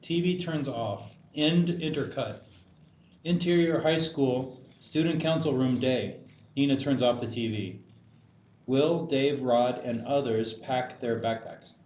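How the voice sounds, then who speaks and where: A man narrates stage directions at a screenplay table read. His voice is mid-pitched, with room echo and faint noise around it. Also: takes it slowly, darkish tone. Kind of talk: scripted narration. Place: roomy indoor table read. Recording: echoey room, dull sound, faint noise.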